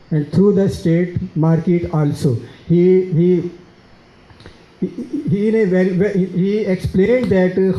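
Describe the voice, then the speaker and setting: A man with a Pakistani accent answering audience questions at length, halting often with restarts and fillers. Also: fairly high pitch. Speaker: guest speaker, author. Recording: roomy, dull lo-fi sound.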